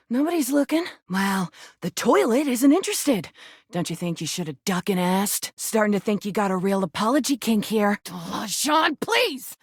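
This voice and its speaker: A woman's voice: mid-range voice, husky, no room sound, studio quality. A woman voicing a cocky, foul-mouthed rubber duck in a video game, brash, mocking and sarcastic. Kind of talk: video game character voice acting.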